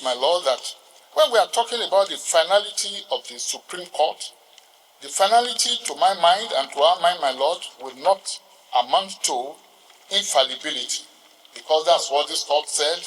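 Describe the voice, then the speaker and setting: A man with a Nigerian accent giving a formal courtroom address as a senior lawyer, speaking in a deliberate, halting, oratorical way. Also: a little high, animated, fairly bright tone. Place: large hall, ceremonial court session. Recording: echoey hall, distant microphone.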